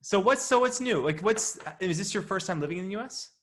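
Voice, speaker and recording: A man's voice: sits high, expressive, a little fast, fairly bright tone. Rambling enthusiastic male host. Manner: rambling, enthusiastic, many fillers. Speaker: host, training company president. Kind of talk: interview conversation.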